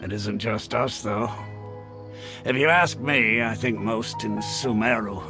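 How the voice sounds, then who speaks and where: An actor playing a genial, worldly retired mercenary advisor in a video game, hearty and matter-of-fact. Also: male voice, fairly low pitch, slow. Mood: frank, genial. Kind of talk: video game cutscene acting. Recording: background music under voice.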